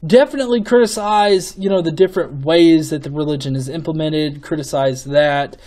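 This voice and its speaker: A man giving off-the-cuff opinion commentary as a video host, in a casual, sweary manner with halting, repeated words. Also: fairly high pitch, a little mellow. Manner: casual, profanity-laden.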